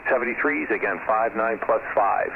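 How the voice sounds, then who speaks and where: An American man working as an amateur radio operator, making halting, polite, deliberate contact calls over shortwave and spelling out callsigns. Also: lowish voice, lively intonation, vocal fry. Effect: shortwave radio transmission. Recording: narrow tinny radio audio, loud static hiss.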